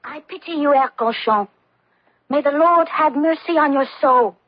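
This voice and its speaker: A woman's voice: high voice, moderate pace, no room sound, lightly acted. An actress playing a solemn, steadfast nineteen-year-old French peasant girl, devout and defiant, in a radio drama. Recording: dull lo-fi old radio recording.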